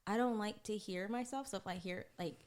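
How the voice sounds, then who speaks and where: A woman's voice: medium pitch, fairly quick. A woman guest on a podcast, chatting playfully and teasing her co-host, laughing often. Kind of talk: casual chat. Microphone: studio podcast mic.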